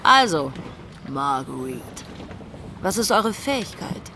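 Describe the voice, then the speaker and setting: An actress playing a haughty pirate lady questioning captives in a German radio drama, measured and deliberate. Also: low voice, big pitch swings, slight background noise, heavily acted. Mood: probing. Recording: studio radio drama with loud music.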